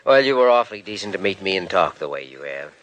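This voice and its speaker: An actor in a 1950s radio drama playing an earnest young male doctor, gentle and persuasive. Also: deep voice, big pitch swings, fast talker, lo-fi sound.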